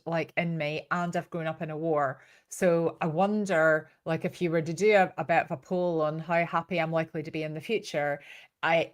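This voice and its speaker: Chatty Northern Irish woman, lower-pitched voice. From Northern Ireland. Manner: chatty, rambling storyteller with frequent asides. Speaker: online trainer and host. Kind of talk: informal live training talk.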